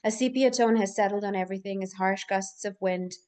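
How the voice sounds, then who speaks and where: A woman's voice: mid-range voice, brisk, darkish tone. Woman narrating screenplay stage directions. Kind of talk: scripted narration at a live table read.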